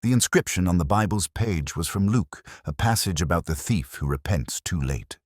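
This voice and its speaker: A man voicing a weary middle-aged Oxford detective, narrating in first person in a measured, reflective way. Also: low voice, lively intonation, no echo, clean studio sound. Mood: brooding. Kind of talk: scripted audio drama narration.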